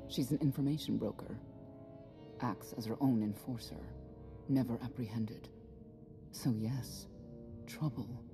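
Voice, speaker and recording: A middle-aged woman playing a regretful, proud warrior mother in a video game, speaking in a measured, wistful way. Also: a bass voice. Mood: matter-of-fact. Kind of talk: dramatic video game acting. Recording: music under the dialogue.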